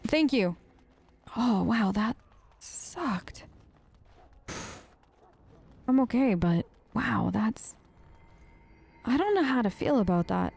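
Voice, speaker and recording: A woman's voice: fairly high pitch, sing-song, takes it slowly, gravelly, long pauses, a little mellow, slightly rough. A young woman hosting a role-play let's play who talks eagerly and hesitantly. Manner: hesitant, enthusiastic.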